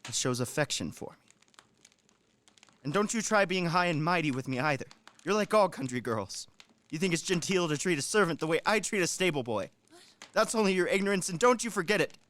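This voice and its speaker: A man's voice: a little high, animated, fairly quick, fairly bright tone. A man in a radio play acting a shrewd, self-important manservant who coaxes and lectures with emphasis. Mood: lecturing. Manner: wheedling, instructing, emphatic.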